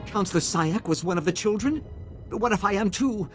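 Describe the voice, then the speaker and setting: An actor playing an anxious, frightened official in a video game, pleading in a nervous manner. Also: male voice, high-pitched, expressive, moderate pace, faint music behind. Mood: fearful. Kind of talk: video game cutscene acting.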